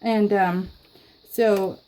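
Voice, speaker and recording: A woman chatting casually to her viewers while she scrapbooks, giggling often and filling pauses with ums and restarts. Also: mid-range voice, steady tone, dark tone, clean tone, poor audio. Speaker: scrapbooking YouTuber. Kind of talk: casual chat while crafting.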